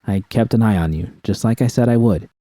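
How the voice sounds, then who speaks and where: Guy playing a teasing seductive woman in an audio story, coaxing, real dark soft tone, close dry sound. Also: fairly low pitch, a little fast, no room sound, close to an everyday voice. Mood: reassuring. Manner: coaxing, purring.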